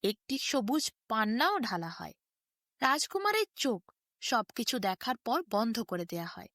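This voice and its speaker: High, lilting woman telling a fairy tale. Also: very animated, a little slow, a bit bright, no room sound, studio quality. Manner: sing-song storytelling, quoting figures in her own voice. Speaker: storyteller. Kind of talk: bedtime fairy tale narration.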